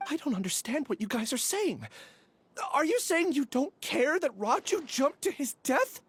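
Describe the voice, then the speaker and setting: A woman playing a troubled, reserved student outcast in a video game, husky and wary. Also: sits high, sing-song, moderate pace, no echo. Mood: distressed.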